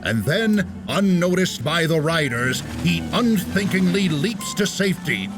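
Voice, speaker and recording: Animated man narrating with showman flair. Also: fairly high pitch, measured pace, music in the background. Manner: dramatic showman delivery, an impression of a famous comics writer. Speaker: narrator of a comic dub. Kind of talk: theatrical scripted comic-book narration.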